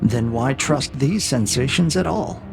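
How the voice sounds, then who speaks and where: A cold interrogator AI with a male voice, played by an actor, creaky and gravelly at an ordinary male pitch. It speaks in measured, condescending probes with clinical menace, as in a scripted audio drama, with clear music underneath. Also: lively intonation. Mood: clinical taunting.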